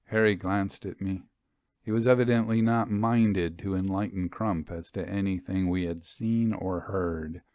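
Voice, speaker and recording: A man narrating and commenting as a storyteller and host, with a measured, conversational and expressive delivery. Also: deep voice, dry recording, clean studio sound.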